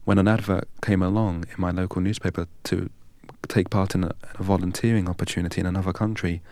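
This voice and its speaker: A man from England, a guest in an interview, telling his story in a measured, deliberate way. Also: sits low, steady tone, mellow, no room sound. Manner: measured and deliberate, recites long strings of numbers evenly.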